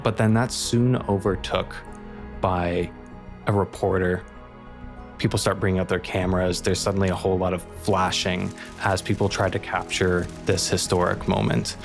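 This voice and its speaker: A man's voice: deep voice, little pitch movement, moderate pace, gravelly, faint music behind. A man improvising narration for a roleplaying game, setting out scenes as the narrator.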